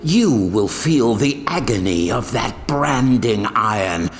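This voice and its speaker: A man's voice: on the low side, lively intonation, slow pace, soft background music. An actor playing a gloating, vengeful madman in an old-time radio thriller, with a menacing, theatrical delivery. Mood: gloating menace. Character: gloating vengeful madman villain, a fanatical torturer and murderer.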